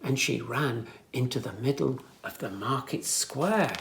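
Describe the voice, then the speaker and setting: A children's storyteller reading a scripted story aloud for young listeners, with expressive, careful phrasing. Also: mid-range voice, unhurried, gravelly, a little hiss or hum.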